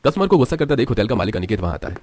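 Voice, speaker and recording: A man's voice: mid-range voice, sing-song, rapid-fire, a little mellow. A man with an Indian accent narrating a scripted story as a storyteller.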